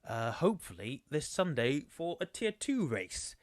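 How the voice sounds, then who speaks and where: A man's voice: on the high side, big pitch swings, a little slow, no room sound, clean studio sound. A man commentating a sim racing league on a live stream, chatty and rambling, losing his voice. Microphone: desktop microphone.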